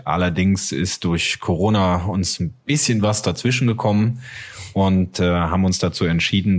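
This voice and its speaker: Male podcast co-host, lowish voice, relaxed chat style, halting as he finds his words. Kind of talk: casual fan podcast chat.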